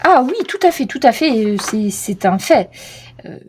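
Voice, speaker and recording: A woman's voice: on the high side, big pitch swings, normal speed, a little hiss or hum. A woman voicing a courteous female clock expert from Florence, speaking formally with many hesitations.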